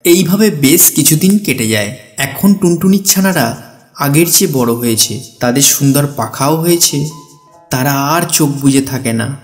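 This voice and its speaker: A man's voice: mid-range voice, normal speed, soft background music. A man narrating a children's story in a scripted storytelling style.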